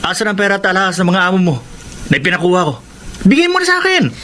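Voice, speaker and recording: Radio drama villain: menacing ex-boyfriend, domineering and taunting, quick and high-pitched. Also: male voice, wildly swooping pitch, pushed and bright, exaggerated voice. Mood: domineering, angry. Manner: bossy, taunting. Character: menacing ex-boyfriend, young male blackmailer and schemer. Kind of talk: Filipino radio drama acting.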